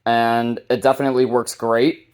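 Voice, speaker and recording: A man hosting a casual, unscripted hobby video showcase, talking informally and a bit haltingly. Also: mid-range voice, level tone, darkish tone, smooth. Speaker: hobbyist video host showing a radio collection.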